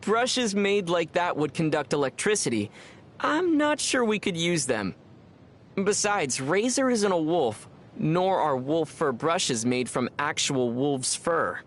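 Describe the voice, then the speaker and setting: Low-voiced, calm young male traveler. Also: slow pace, slight background noise, full character voice. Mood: dry teasing. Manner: dry, understated teasing. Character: young male traveler hero, calm, dry, gently teasing. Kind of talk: video game voice acting.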